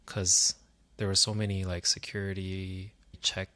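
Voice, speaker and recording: A man from Korea with a low voice co-hosts a casual podcast. He explains things in a relaxed way. He murmurs agreement often while the other host talks. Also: a little slow.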